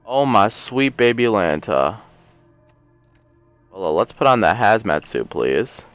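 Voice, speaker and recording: A man casually commenting on his gameplay, rambling and joking as he thinks aloud, a little hoarse from a long session. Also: lowish voice, sing-song, takes it slowly, fairly bright tone, dry recording, faint music behind. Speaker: let's play host.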